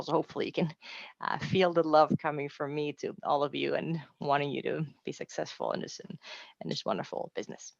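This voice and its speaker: Woman, 40s, Austrian accent, chatty and encouraging presenter, gravelly tone; dry, lo-fi webinar audio. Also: deep voice, no room sound. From Austria, raised in Mexico City. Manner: upbeat, chatty, self-deprecating asides. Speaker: translator giving a webinar talk.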